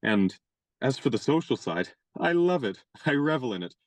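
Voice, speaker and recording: An actor playing a chivalrous, genial young gentleman adventurer in a radio play, speaking in courtly, elaborate and playfully pompous phrases. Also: mid-range voice, big pitch swings, moderate pace, no echo.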